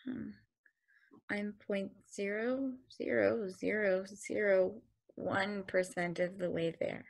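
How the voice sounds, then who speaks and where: A woman's voice: mid-range voice, steady tone, slow pace, gravelly. A woman voicing a naive, hopeful space probe, reading in a table read over a video call. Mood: hesitant. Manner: hesitant, then insistent.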